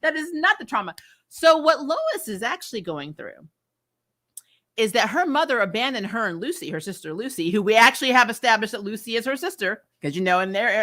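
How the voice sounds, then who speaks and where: Bright-voiced woman giving a chatty recap. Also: medium pitch. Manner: animated, acts out lines, peppers talk with "you know". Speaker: host of a comic TV review vlog. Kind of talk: casual, chatty episode recap.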